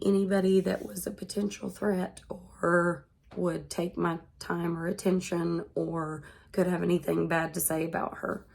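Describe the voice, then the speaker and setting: A woman in her thirties, congested and hoarse from being sick, talking earnestly to her vlog audience. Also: mid-range voice, darkish tone. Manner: slow, earnest and measured. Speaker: vlogger. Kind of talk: personal vlog monologue.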